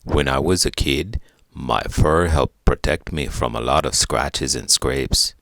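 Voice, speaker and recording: A man voicing a laid-back, furry talking chimpanzee, with a deep, gravelly voice and a matter-of-fact manner for a children's storybook. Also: a little slow, fairly bright tone, no room sound, exaggerated voice.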